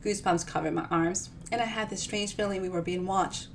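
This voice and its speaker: A woman giving an expressive storytime reading of their own fiction, with chatty, informal asides. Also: medium pitch, a little fast, creaky, a little hiss or hum. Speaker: author reading their own novel on a live show.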